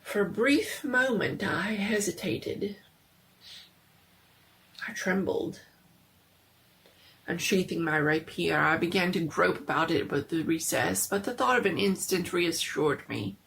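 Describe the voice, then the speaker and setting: A woman narrates a gothic horror tale in a low, gravelly voice. Her delivery is very slow and theatrical, often sinking into a hush. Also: expressive, a little hiss or hum. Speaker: storyteller. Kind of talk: dramatic first-person horror story reading.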